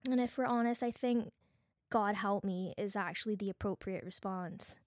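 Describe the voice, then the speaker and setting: A woman with a Bajan accent giving an earnest, deliberate devotional teaching talk as a host, mixing prepared points with personal reflection. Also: mid-range voice, darkish tone, no room sound. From Barbados.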